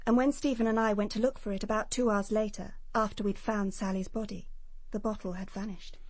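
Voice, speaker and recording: An English actress playing a prim, self-assured nurse giving precise testimony in a British radio crime drama. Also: female voice, medium pitch, fairly even intonation, brisk, no echo, lightly acted. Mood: composed, defensive.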